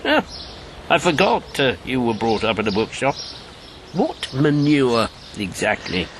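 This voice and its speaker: A man's voice: mid-range voice, sing-song, unhurried, slight background noise. A man acting in a radio drama as an old former secret agent, jovial and world-weary, with a theatrical, bantering manner. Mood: weary, probing. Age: 70s.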